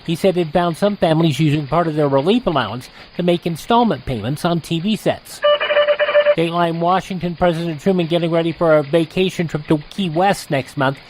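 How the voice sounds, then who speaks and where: A man's voice: a little high, a little fast, noisy background. A man with an American accent reading scripted intros and old news headlines as a radio host.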